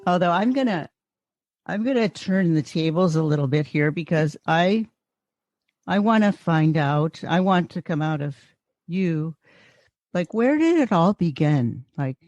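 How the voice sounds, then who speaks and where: A woman's voice: fairly low pitch, animated, unhurried, darkish tone, dry recording, muffled recording. A woman podcast host introducing her guest admiringly, often restarting and stumbling over words. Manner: admiring, with frequent restarts and fillers.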